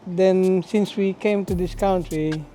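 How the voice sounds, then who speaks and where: A man's voice: high-pitched, fairly even intonation, slow pace, mellow, slight background noise. A 30-year-old man from the Philippines with a Filipino accent, modestly introducing himself to camera. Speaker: company staff. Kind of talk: casual team intro to camera.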